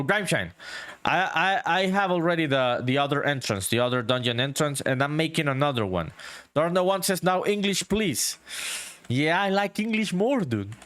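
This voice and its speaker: A man's voice: medium pitch, fairly bright tone, clean tone, dry recording. A man from a Spanish-speaking country whose first language is Spanish, chatting casually and swearing a lot while he livestreams game development. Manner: swears a lot, laid-back, says "dude" often.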